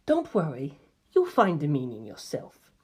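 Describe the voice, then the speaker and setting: A woman gives voice to a calm teenage boy, a cryptic and gentle friend in a novel reading. The speech is quiet, breathy and measured, with a knowing manner. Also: deep voice, voice pushed down, heavily acted. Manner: soft-spoken, measured, knowing.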